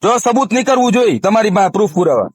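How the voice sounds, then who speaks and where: A man's voice: high-pitched, very animated, quick pace, husky. A man in a heated phone conversation, firmly admonishing the other party and repeating himself. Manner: firm, admonishing, repetitive. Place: recorded phone call.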